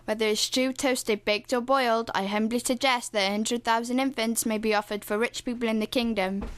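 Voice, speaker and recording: A woman's voice: a little high, fairly even intonation, brisk, no echo, natural voice. A young woman playing an earnest, self-important male author making a mock radio appeal. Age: young adult.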